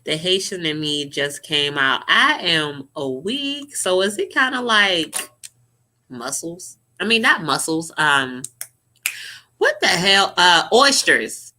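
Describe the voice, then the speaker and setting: Young woman ranting on her vlog, sassy and cussing, bright voice with a lot of bounce. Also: fairly low pitch, takes it slowly, slight background noise. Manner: animated, sassy, profane. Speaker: vlogger. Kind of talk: casual reaction commentary.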